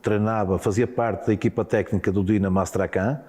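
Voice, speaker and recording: Halting middle-aged Portuguese man, deep voice. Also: steady tone, a little mellow, big-room sound. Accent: European Portuguese. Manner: hesitant, many repeated words and restarts. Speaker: former handball player and coach, guest. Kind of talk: relaxed interview storytelling.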